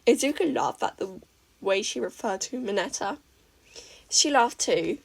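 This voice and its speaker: A woman reading a fan fiction story aloud in a steady, even storytelling manner. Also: on the high side, a little slow, creaky, husky. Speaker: podfic reader.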